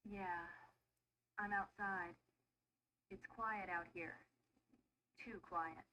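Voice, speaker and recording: Radio-call woman, played by an actress: audio drama, sharp tone, faintly noisy line. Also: fairly low pitch, fairly even intonation, extremely slow, heavily acted. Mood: uneasy.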